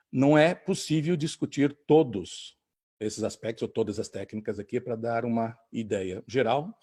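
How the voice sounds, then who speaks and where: A man giving a calm, measured online talk, explaining techniques step by step. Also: mid-range voice, slightly rough. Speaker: lecturer.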